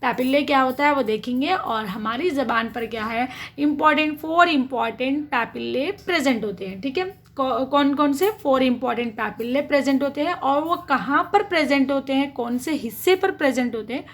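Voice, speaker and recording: A woman with a high, light voice teaches a school biology class. She moves point by point, posing a question and then answering it, and checks in with the listener often. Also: moderate pace, few pauses. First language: Urdu. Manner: asks questions then answers them, frequent "theek hai". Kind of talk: explanatory science lesson.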